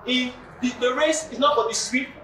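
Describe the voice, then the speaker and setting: Played by a man, a preachy young Nigerian man with a very high voice who quotes scripture insistently. The sound comes from a distance in an echoey hall. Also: steady tone, normal speed, sharp tone, a little hiss or hum. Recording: echoey hall, far camera mic.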